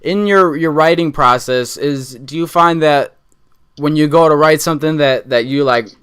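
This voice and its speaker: Smooth-voiced young man hosting a chat. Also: a little high, moderate pace, fairly bright tone. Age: 20s. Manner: easygoing, rambling, many fillers. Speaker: podcast host. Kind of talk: casual interview chat.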